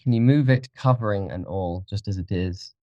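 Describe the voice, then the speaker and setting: A polished young man of means, acted by a male narrator, courteous yet used to being obeyed. The voice is fairly low, with a dry and slightly dulled recording, as in a storybook reading. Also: moderate pace, a little mellow, no echo, dull audio, close to an everyday voice. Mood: polite, commanding. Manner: courteous, genteel, giving orders politely. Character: charming, wealthy young gentleman, polite but commanding.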